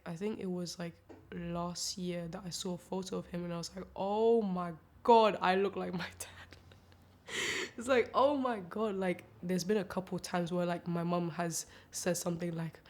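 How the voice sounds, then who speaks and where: A woman's voice: fairly low pitch, a little mellow. A young woman speaking candidly in an interview, hesitant and full of fillers. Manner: hesitant, filler-heavy, reflective. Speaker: documentary interviewee.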